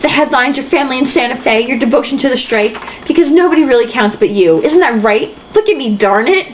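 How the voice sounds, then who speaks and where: A woman reading a storybook aloud as an idealistic, hot-tempered teenage boy, shouting and pleading accusingly. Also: sits high, lively intonation, fast. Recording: dull lo-fi sound, faint noise.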